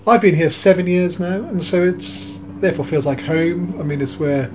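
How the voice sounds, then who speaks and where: A cheerful man, slightly high-voiced, talks as a vicar in a friendly, easygoing way. A faint noise sits under his voice. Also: moderate pace. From Wales. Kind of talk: interview answers.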